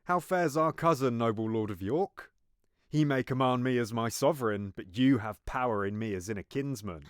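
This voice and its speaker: An actor playing a ruthless, scheming royal uncle in Shakespearean audio drama, courteous in measured, formal verse. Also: male voice, mid-range voice, clear, resonant tone, no echo, clean studio sound, close to an everyday voice. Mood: courteous, sly.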